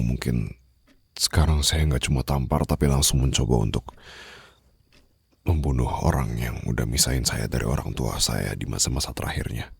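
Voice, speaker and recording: Bos mafia bersuara sangat berat dan serak, diperankan seorang pria. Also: male voice, rumbling low, no room sound, clean studio sound, heavily acted. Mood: stern, grave. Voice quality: breathy, husky, gravelly. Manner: slow, hushed, formal and soothing, drifting into whispers. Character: brooding young male mafia boss, commanding yet tenderly protective. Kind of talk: ASMR roleplay acting, intimate monologue. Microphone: close studio microphone, dry.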